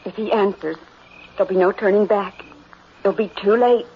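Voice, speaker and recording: An actress playing an anxious, protective young newlywed wife, pleading in an old radio drama. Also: female voice, mid-range voice, fairly even intonation, normal speed, a little hiss or hum, lightly acted. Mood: fearful. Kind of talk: 1960s radio drama acting. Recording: muffled vintage radio, music behind.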